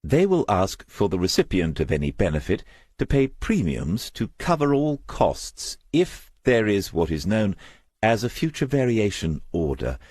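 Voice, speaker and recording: A man's voice: on the low side, very animated, measured pace, darkish tone, breathy edge, no echo, clean studio sound, voice pushed up, heavily acted. A man voicing a young corporate insurance woman in an audiobook, with a polite, formal, matter-of-fact manner.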